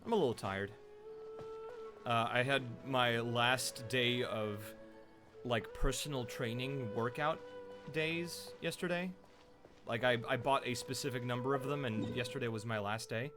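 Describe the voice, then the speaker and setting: Loud chatty guy streaming from home, mid pitch, faint game music behind. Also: a little slow. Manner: loud, chatty, rambling with fillers. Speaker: streamer and voice actor. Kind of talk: casual live-stream chat.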